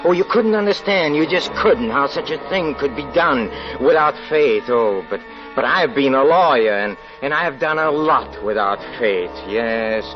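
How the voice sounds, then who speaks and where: An actor playing a cunning, self-satisfied criminal who poses as a saintly parson, with halting theatrical delivery in 1940s radio drama style. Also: male voice, mid-range voice, expressive, close to an everyday voice. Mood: gloating, excited. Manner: theatrical, halting, repeats words. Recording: dull 1940s radio recording with music.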